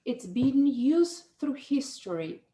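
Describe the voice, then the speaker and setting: A woman's voice: high-pitched, very animated, slow pace, dark tone. A woman with a Spanish accent in a casual conversation, rambling and restarting, often tagging "right?" onto her sentences. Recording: echoey room.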